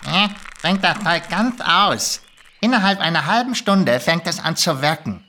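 A woman's voice: lowish voice, animated, unhurried, pushed and bright. A woman voicing a sly, spiteful male kobold in a storybook reading, hissing, scolding and dripping with false sweetness. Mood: sickly sweet.

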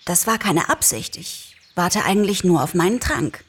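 Husky, courteous fox played by a woman. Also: on the low side, animated, moderate pace. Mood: polite. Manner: courteous, old-fashioned, softly insistent. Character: polite talking fox, formal, impatient for potion.

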